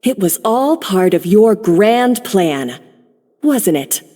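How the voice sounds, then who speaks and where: An actress voicing a woman in a video game who calmly and deliberately lays out an accusation. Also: mid-range voice. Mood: accusing. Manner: measured and accusatory. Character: cool, accusing woman confronting a schemer. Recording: game audio with reverb and faint music.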